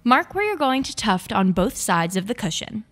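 A woman's voice: mid-range voice, sing-song, moderate pace. A young woman hosting a craft tutorial, reading scripted step-by-step instructions. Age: young adult. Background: background music.

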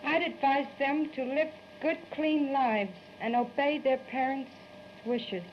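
A young woman with a high voice answers interview questions stiffly, as if rehearsed. The old 1930s newsreel sound is muffled, with clear background noise. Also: slow. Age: young adult. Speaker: stage performer being interviewed.

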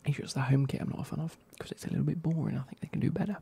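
A man, reviewing football kits in a soft, casual whisper with wry asides. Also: a little high, very fast, gravelly, slightly rough.

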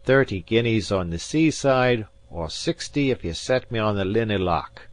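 A man voicing a proud, bold exiled Highland gentleman, speaking forcefully in an audiobook. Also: expressive, measured pace. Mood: defiant. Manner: forceful, defiant declamation. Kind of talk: audiobook character voice.

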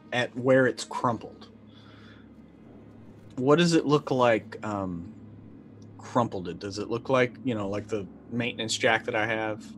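A middle-aged man playing a role-playing game, casually thinking aloud as he decides what his character does. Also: medium pitch. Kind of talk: casual improvised game play. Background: faint background music.

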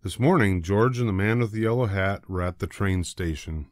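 An older man narrating a children's storybook in a deliberate, expressive way. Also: lowish voice, no room sound, studio quality. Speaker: storybook reader.

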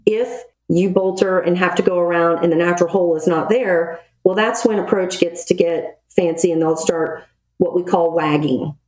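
Lowish-voiced woman in an echoey room. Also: steady tone, moderate pace, a little mellow. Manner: matter-of-fact, military jargon. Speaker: podcast guest, retired Navy air traffic control officer. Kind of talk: explanatory interview talk. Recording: roomy, echoey voice recording.

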